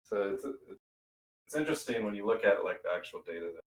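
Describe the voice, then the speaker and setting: Lowish-voiced man explaining casually. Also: lively intonation, fast, poor audio. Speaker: participant in a community livestream. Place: remote voice call.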